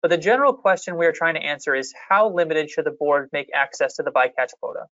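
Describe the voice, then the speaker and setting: A methodical presenter at a public hearing, explaining policy options slide by slide in an even, measured way. The voice sits at a middling pitch. The sound is dry and a little muffled, with no room around it. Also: no echo. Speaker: fishery management staff presenter.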